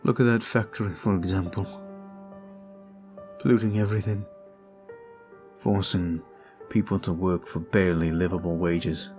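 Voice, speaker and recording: A man voicing a brooding male vampire in an ASMR roleplay, talking in a slow, intimate, confiding way. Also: low voice, steady tone, darkish tone, faint music behind, close to an everyday voice.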